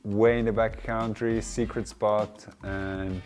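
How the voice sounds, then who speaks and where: A man from South Tyrol, a skier, speaking relaxed and excited in a documentary interview. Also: fairly low pitch, takes it slowly, soft background music. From South Tyrol, Italy. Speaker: athlete.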